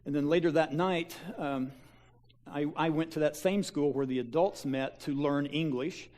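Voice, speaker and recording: A man's voice: fairly high pitch, normal speed, a little mellow. A man, a pastor giving a folksy mission-trip slideshow talk to his church, with lots of fillers and restarts. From Kansas. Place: church sanctuary.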